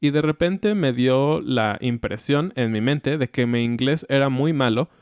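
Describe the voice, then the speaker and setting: A Mexican-accented man talks through ideas calmly and thoughtfully, like a teacher chatting on a podcast. His voice is smooth and clear, recorded close and dry with no room sound. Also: medium pitch, measured pace, no room sound. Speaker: podcast co-host. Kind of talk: educational podcast chat.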